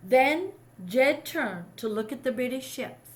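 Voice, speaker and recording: High-voiced woman reading a story aloud. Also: lively intonation, slow pace. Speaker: storybook reader. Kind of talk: expressive read-aloud of a children's history story.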